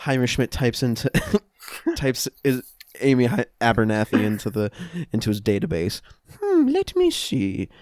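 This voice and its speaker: A man's voice: medium pitch, sing-song, moderate pace, darkish tone, no echo. A man improvising scene narration for a comedy podcast, casual and feeling his way through the story.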